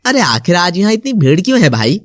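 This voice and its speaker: A performer voicing a gawking, gossipy village man in a cartoon, excitable and mocking. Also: mid-range voice, fairly quick, no echo, voice pushed down. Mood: excited.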